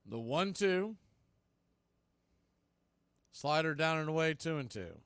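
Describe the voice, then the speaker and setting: A man's voice: a little high, sing-song, slow pace, a bit bright, smooth, dry recording. A man doing live radio baseball play-by-play, with punchy clipped calls and conversational asides.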